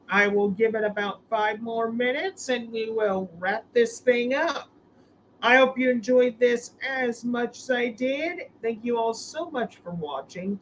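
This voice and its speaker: A man hosting a casual live stream, his voice hoarse from being sick, rambling with restarts and fillers. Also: very high voice, slight background noise, low-quality recording. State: hoarse from illness.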